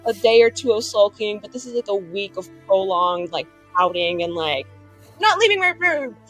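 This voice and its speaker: A woman casually improvising her character's story as a tabletop game player, in animated, slangy speech peppered with "like". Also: mid-range voice, moderate pace, soft background music, rough recording. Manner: animated slangy chat full of "like".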